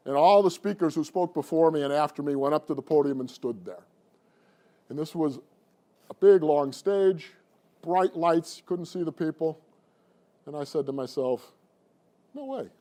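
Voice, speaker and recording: A middle-aged man coaching public speaking, talking to a class in an animated, conversational way. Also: fairly high pitch. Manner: animated and conversational, addressing the room. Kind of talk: presentation to a class.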